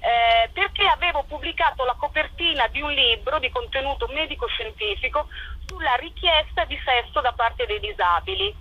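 A woman's voice: sits high, expressive, normal speed. A woman being interviewed on the radio as an actress and playwright, speaking spontaneously over the phone. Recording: dull lo-fi radio phone call.

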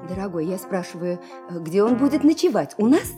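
An actress in a radio play playing a chatty, gushing, dreamy wife and hostess. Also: female voice, mid-range voice, very animated, a little fast, mellow, natural voice. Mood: fussy, smitten. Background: music.

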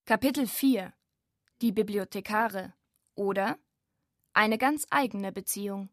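Eine Frau als Sprecherin, die einen geschriebenen Dokumentartext vorträgt. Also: female voice, medium pitch, unhurried, dry recording, clean studio sound. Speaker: narrator. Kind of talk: scripted documentary narration.

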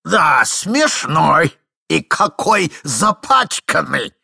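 Creaky old general type, indignant and blustery, sharp voice swinging all over. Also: male voice, a little high, big pitch swings, very slow, no echo, studio quality, pitched above the performer's own voice, heavily acted. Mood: amused, baffled. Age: older. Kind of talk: theatrical literary reading.

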